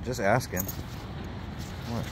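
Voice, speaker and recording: A man's voice: medium pitch, fairly even intonation, slow pace, noisy background. A man, a dog trainer, talking playfully to his dogs on a beach at night, recorded on a handheld camera. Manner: coaxing, playful talk to dogs. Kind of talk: casual talk to his dogs.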